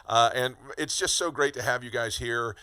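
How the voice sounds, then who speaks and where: A man from Kentucky hosting a casual interview, friendly and a bit halting, with many fillers and restarts. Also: medium pitch, bright, forceful tone, clear, resonant tone, no echo. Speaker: podcast host.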